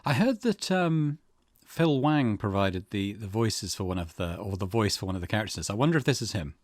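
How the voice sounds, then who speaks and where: A man's voice: on the low side, lively intonation, a little fast, no room sound, studio quality. A man hosting a gaming channel, giving casual let's play commentary.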